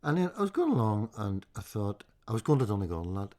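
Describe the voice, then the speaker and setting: A man's voice: on the low side, big pitch swings, quick pace, warm tone. A man hosting a podcast, telling anecdotes bluntly and swearing freely. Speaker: podcast host. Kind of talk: casual conversation.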